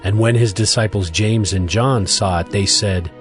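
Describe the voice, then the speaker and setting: A man narrating scripture from a script as a measured storyteller. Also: lowish voice. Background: music.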